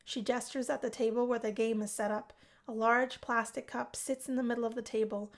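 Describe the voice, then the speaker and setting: A high-pitched woman narrates a visual novel aloud. She reads expressively, in the manner of a video game streamer who also acts out the characters. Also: fairly quick. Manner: expressive story reading with acted character voices.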